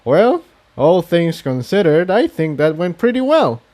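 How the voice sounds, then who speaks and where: A man's voice: a little high, big pitch swings, a little slow, darkish tone, voice pushed up. A man voicing an upbeat male unicorn tinkerer in a fantasy story, explaining things in a reassuring manner. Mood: satisfied.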